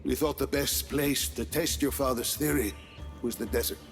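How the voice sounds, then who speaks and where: A man playing Lanza, a measured older scientist. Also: medium pitch, fairly even intonation, faint music behind, lightly acted. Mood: calm. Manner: measured, precise, explanatory. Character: aging male weather-weapon scientist, calm and methodical. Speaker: weapons scientist. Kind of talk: video game cutscene acting.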